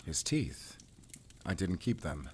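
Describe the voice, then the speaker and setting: A man playing a brooding, world-weary werewolf hunter in an audio roleplay, speaking in a measured, solemn, introspective way. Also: sits low, big pitch swings, slightly rough, exaggerated voice. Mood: wry, relaxed. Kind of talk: cinematic audio roleplay acting.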